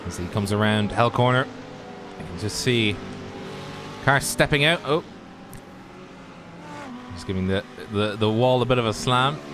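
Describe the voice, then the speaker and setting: A man's voice: fairly low pitch, measured pace, long pauses, noisy background. A man co-commentating a sim race stream in a jokey, chatty way, talking to the viewers. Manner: jokey, chatty, talks to the chat. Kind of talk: casual live race commentary.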